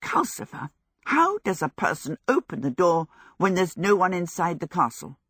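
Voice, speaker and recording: A woman's voice: lowish voice, huge pitch swings, moderate pace, a bit bright, husky, no echo, heavily acted. A stubborn old woman from a storybook played by a woman narrator, grumbling her way through each phrase with heavy sarcasm. She sounds sour and put-upon, recorded close and dry. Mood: brisk.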